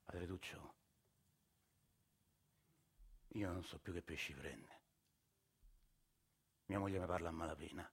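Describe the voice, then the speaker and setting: A man's voice: sits low, normal speed, slightly rough. A male stage actor playing Agostino, a put-upon, desperate working man with a Roman accent, colloquial and plaintive. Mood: dejected. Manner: colloquial, pleading, exasperated. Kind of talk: theatrical monologue with several characters.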